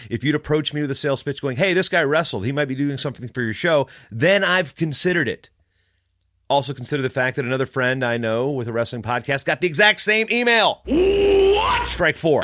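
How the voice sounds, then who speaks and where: A man's voice: mid-range voice, fairly bright tone, no echo, clean studio sound. A man podcaster telling a story in a casual, snarky, emphatic rant. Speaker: wrestling podcaster.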